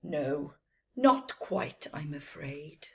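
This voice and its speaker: A woman puts on a grown storybook camel who is snooty and dismissive. The words come slowly, with disdainful emphasis and a lively pitch. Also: creaky, exaggerated voice.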